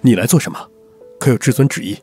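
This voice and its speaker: A man's voice: mid-range voice, quick pace, dark tone, breathy edge, faint music behind, lightly acted. A man playing a weary, guarded middle-aged prince in a historical audio drama, restrained and formal. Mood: anxious, stern.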